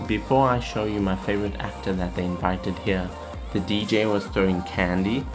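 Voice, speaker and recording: A man's voice: on the low side, fairly even intonation, normal speed, over music. A man hosting a vlog, narrating in voice-over in an informal, conversational way. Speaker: vlog host.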